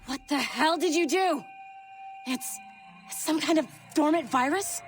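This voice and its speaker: A woman voicing a sarcastic, impatient tech worker in a video game, speaking briskly and urgently. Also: high-pitched, music in the background. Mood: alarmed.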